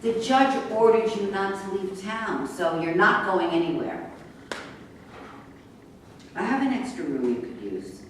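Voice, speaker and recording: A woman's voice: mid-range voice, sing-song, measured pace, long pauses. A woman reading the part of a stubborn, defiant young woman in a staged play. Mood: exasperated. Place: echoey room at a live staged reading. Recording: echoey room, far mic, faint noise.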